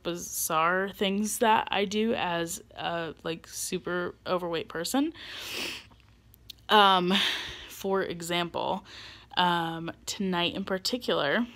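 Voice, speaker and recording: A woman's voice: mid-range voice, a bit bright. Chatty young woman vlogging, full of ums. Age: 20s. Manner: rambling, chatty, many ums and likes, self-deprecating laughs. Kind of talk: casual personal vlog.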